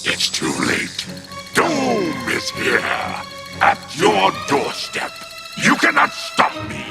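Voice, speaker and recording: A possessing spirit from a video game, grandiose and full of menace. The delivery is slow and swings wildly from low to high, with a gravelly growl. Loud music plays behind it. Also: male voice, wildly swooping pitch, exaggerated voice. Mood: threatening. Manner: theatrical villainous grandiosity. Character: menacing, boastful possessing spirit bent on destroying the world. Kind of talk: video game cutscene acting.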